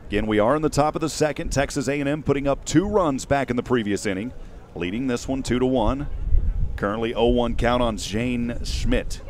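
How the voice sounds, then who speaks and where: A man in his thirties calling a baseball game live in short, measured phrases, speaking into a headset mic outdoors. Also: mid-range voice, slight background noise. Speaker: radio play-by-play baseball announcer. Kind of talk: live sports commentary. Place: outdoors at a windy ballpark. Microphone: headset boom mic.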